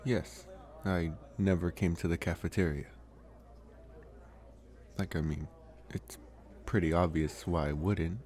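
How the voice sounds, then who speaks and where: A man playing a lonely, gentle young college vampire in an intimate ASMR roleplay, speaking softly and haltingly with pauses. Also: sits low, steady tone. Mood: relaxed, shy. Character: lonely, soft-spoken young male college vampire, politely devoted. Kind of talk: ASMR roleplay, intimate one-sided dialogue.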